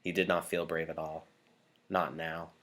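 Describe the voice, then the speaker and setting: Male narrator with a low register for an expressive novel read-aloud. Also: moderate pace.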